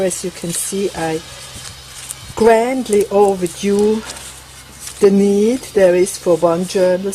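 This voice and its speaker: A woman with a Swiss accent talks through her crafting in a slow, unhurried way, naming things one after another. Her voice sits on the low side. Faint outdoor noise and a light breeze sound behind her on the veranda. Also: a little mellow, low-quality recording. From Switzerland. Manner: unhurried, chatty, lists items one by one. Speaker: crafting vlogger. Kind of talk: casual craft show-and-tell.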